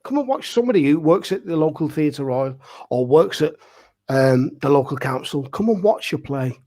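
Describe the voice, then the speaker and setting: A man's voice: fairly high pitch, animated, moderate pace, a little mellow. A man of forty-five speaking casually as a panel guest, earnest and emphatic, often repeating himself for stress. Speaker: pantomime dame and amateur theatre director.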